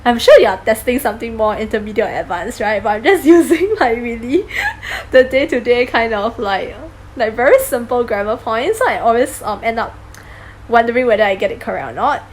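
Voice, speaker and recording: A woman's voice: a little high, expressive, a little fast, slight background noise. A woman vlogger talking casually about taking a language exam, chatty and self-deprecating, with frequent ums and little laughs. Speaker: vlogger sharing exam tips. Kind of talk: casual talking-to-camera vlog.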